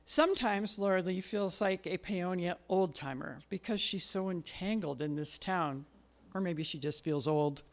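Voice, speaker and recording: A woman's voice: on the low side, moderate pace. A woman hosting a live community event, reading a prepared introduction aloud into a stage microphone. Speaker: event host introducing a writer.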